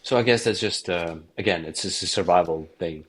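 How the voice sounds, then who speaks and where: A man with a Ukrainian accent answering interview questions in a halting way, often restarting and repeating his words. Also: deep voice, a little hiss or hum. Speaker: documentary director, interview guest.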